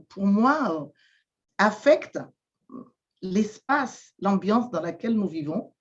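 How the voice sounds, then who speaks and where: A woman from Madagascar, not a native French speaker, talks very slowly in an interview. She hesitates, stacks up fillers and repeats words as she searches for them. The sound is a bit dull and lo-fi. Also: mid-range voice, darkish tone. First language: Malagasy. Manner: slow, halting, many fillers and repeated words. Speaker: guest, shamanic therapist. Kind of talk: interview answers.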